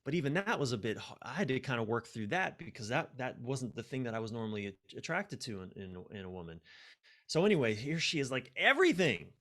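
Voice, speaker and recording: A middle-aged man talking reflectively and conversationally as a podcast guest, emphatic, often halting and repeating words. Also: mid-range voice, very animated, fairly bright tone. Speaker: podcast guest, life and relationship coach. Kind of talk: conversational, reflective interview talk.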